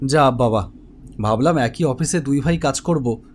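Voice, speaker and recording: A man's voice: medium pitch, a little slow, slight background noise, close to an everyday voice. A man playing an office web developer who narrates his own ghost story in a dramatic, suspenseful way. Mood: conversational. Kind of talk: scripted first-person horror story narration. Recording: music behind the voice.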